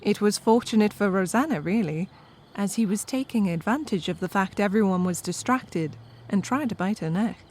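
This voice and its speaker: A woman's voice: medium pitch, normal speed, a little mellow, slight background noise. A woman narrating a scripted comic story with wry, conversational asides to the listener.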